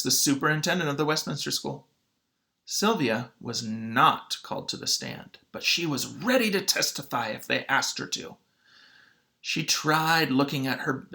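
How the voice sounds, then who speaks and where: A man reading a children's book aloud as a teacher, with dramatic, emphatic phrasing and pauses. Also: mid-range voice, creaky, sharp tone. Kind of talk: expressive children's read-aloud.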